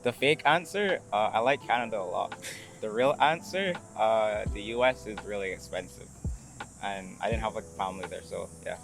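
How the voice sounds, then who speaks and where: A man's voice: lowish voice, normal speed, creaky, background noise. A young man from Barbados, a student interview guest, chatting casually and loosely with lots of hedging. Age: young adult. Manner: informal, hedging, peppered with "like". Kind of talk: casual chat.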